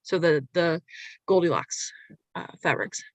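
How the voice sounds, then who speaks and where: A woman's voice: fairly low pitch, a little slow, dry recording. A woman introducing herself on a video call, hesitant and correcting herself with many fillers. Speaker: sew-along participant. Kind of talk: casual self-introduction. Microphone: video call mic.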